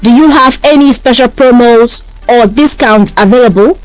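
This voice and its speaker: A woman's voice: a little high, normal speed, no room sound, close to an everyday voice, rough recording. A woman with a Nigerian accent acting as interviewer in a scripted, polished and enthusiastic radio promotion. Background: music.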